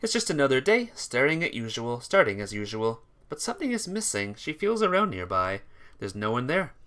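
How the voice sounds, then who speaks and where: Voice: medium pitch, big pitch swings, a little fast, fairly bright tone. An amateur reader narrating a visual novel expressively, as a story.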